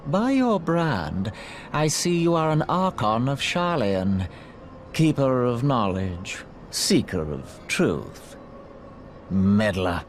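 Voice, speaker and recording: Otherworldly villain for a fantasy game: menacing, formal, drawn-out delivery with dramatic swings in pitch. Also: male voice, very animated. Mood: menacing contempt. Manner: slow, menacing, grandiose, formal. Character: sinister, disdainful dark sorcerer, an otherworldly villain. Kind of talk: video game voice acting. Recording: clear background noise.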